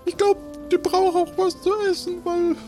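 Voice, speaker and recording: Very high, squeaky timid trader. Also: female voice, level tone, moderate pace, warm tone, faint music behind. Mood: eager, hesitant. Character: squeaky, timid, obliging small-time trader. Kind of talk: improvised audio drama.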